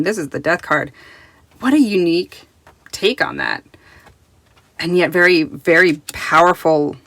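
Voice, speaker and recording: A woman reviewing a tarot deck in casual, rambling chat full of restarts, recorded in a quiet closet at home, her voice still recovering from being lost. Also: lowish voice, dry recording. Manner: chatty, rambling with many restarts and fillers.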